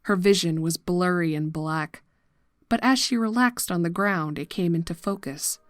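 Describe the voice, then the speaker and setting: A woman narrating a scripted horror story in a measured, dramatic manner. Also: fairly low pitch, no room sound, clean studio sound.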